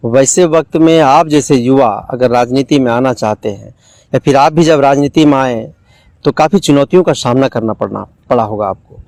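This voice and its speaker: A man's voice: mid-range voice, moderate pace, a little mellow, dry recording. A man working as an interviewer, putting long, wordy questions that repeat and build on their phrases.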